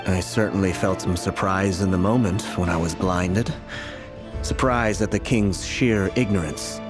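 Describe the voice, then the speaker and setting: An actor playing a solemn, fatalistic blinded prophet in a fantasy video game, speaking in a grave, formal, oracular manner. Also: male voice, low-pitched, a little slow, music in the background. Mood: bitter, grave.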